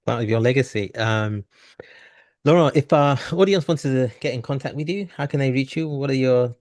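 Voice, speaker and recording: A man's voice: medium pitch, moderate pace, a little mellow. A man hosting an interview podcast in a courteous, formal manner. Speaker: podcast host.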